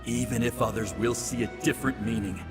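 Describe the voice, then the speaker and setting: A man's voice: mid-range voice, natural voice. Male actor as ancestral game hero: dignified, reflective, dry wit, music swelling underneath. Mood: solemn, wry. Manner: measured, reflective. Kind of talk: scripted video game dialogue. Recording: long reverb, music underneath.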